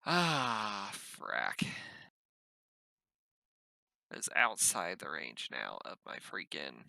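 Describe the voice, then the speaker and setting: Very bright, forceful male tabletop player. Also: deep voice, wildly swooping pitch, drawn-out words, gravelly, dry recording, clean studio sound. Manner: blunt, sweary. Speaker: player in a tabletop roleplaying game. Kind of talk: casual game chat, partly in character.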